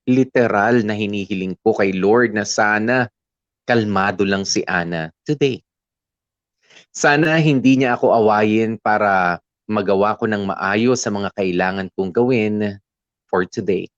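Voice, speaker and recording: A man's voice: on the low side, a little slow, no room sound, natural voice. Dry, slightly dull acted male confessional storytelling. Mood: weary, confiding. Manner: confiding, mixes Tagalog and English. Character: weary boyfriend recounting his troubled relationship. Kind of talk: scripted first-person story narration.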